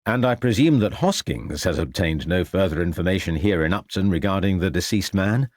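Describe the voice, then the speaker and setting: A man's voice: low-pitched, lively intonation, fairly quick, a little mellow, dry recording, studio quality. A man voicing a polite, dutiful police detective in an audiobook, formal and restrained. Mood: composed, formal. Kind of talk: audiobook character acting.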